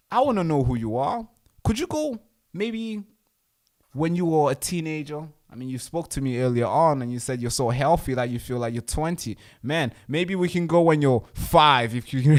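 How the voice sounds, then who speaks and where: A man hosting an online talk show, speaking in a loose, emphatic way and laughing at his own remarks. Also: on the high side. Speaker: host. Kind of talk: casual interview talk.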